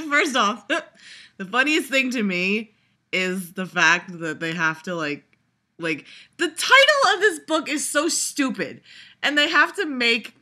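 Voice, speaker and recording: A woman's voice: fairly high pitch, big pitch swings, slow pace, pushed and bright. A young woman vlogger giving a sarcastic, profane reaction, rambling with lots of laughs and fillers. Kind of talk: casual reaction commentary.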